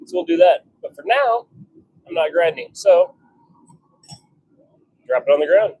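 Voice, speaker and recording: A man's voice: high-pitched, huge pitch swings, unhurried, gravelly, husky, no room sound, narrow, tinny audio. A man giving casual commentary as he works in a noisy forge workshop, musing to himself in short fragments. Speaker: blacksmith hosting a workshop livestream. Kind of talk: casual commentary while working. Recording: very noisy workshop with clicks and clanks.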